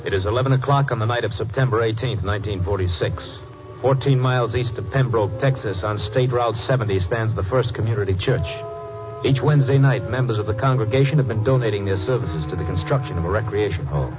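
A man's voice: on the low side, fast talker. An American man giving scripted, matter-of-fact narration for a documentary-style radio drama. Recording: muffled old radio recording with music.

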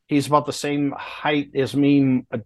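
A man's voice: mid-range voice, level tone, unhurried, no echo. A man in his late fifties, a guest talking candidly and plainly in a casual interview. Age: 50s. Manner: candid, plainspoken, laughs between hard stories.

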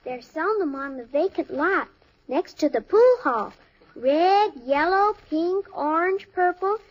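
An American actress playing an eager, innocent young daughter in a 1950s radio sitcom, earnest and childlike. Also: female voice, extremely high pitch, lively intonation, measured pace. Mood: hopeful. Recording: dull old radio recording.

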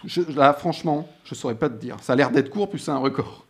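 A woman's voice: extremely low pitch, a little fast, a little mellow, slightly rough. A woman hosting a playful radio quiz in a studio, teasing her guests and hesitating with fillers. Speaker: radio host.

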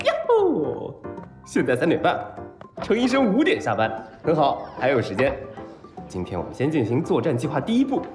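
An excitable young man in a radio drama, smitten and easily flustered. His voice sits high and swings widely in pitch, with stammers and whiny exclamations, over music. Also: moderate pace. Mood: excited, smitten. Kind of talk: audio drama acting. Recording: music behind.

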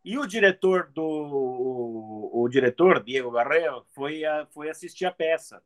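A middle-aged man talking casually as an interview guest, telling stories in an animated, conversational way. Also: a little high, measured pace. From outside Brazil. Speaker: guest, comedian and TV reporter.

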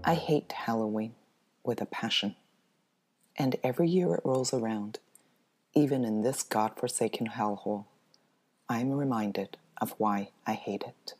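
A woman's voice: rumbling low, measured pace, noisy background. A woman giving a scripted first-person reading of a horror story. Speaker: story reader.

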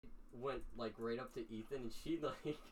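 A man's voice: mid-range voice, steady tone, normal speed, darkish tone, recorded from a distance, a little hiss or hum. A young man rambling through a story with giggles on a casual podcast.

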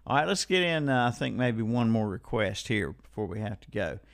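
A man's voice: lowish voice, expressive, normal speed, creaky, no room sound, clean studio sound. A man hosting a live radio call-in show in a casual, folksy, rambling way, playfully repeating himself. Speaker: radio host of a call-in swap shop and music show. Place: radio studio. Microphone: close studio microphone.

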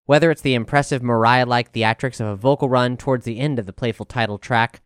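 A man's voice: mid-range voice, steady tone, quick pace, clear, resonant tone, no room sound, clean studio sound. A man reading a scripted album review as narration.

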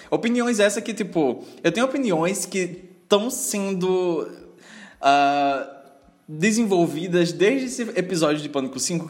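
A Brazilian man co-hosting a film podcast, laughing often and rambling as he recounts things. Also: a little high. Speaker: podcast co-host. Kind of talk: casual podcast chat.

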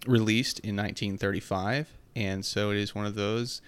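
A man hosting a film podcast, his voice gravelly from a cold, with dry, wry humor. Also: fairly low pitch, steady tone, moderate pace, no echo. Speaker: podcast host. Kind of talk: casual podcast chat.

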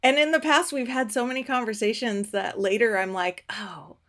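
A woman's voice: a little high, fairly even intonation, normal speed, fairly bright tone. A woman hosting a podcast, chatting easily and laughing often during an interview over a video call. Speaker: podcast host.

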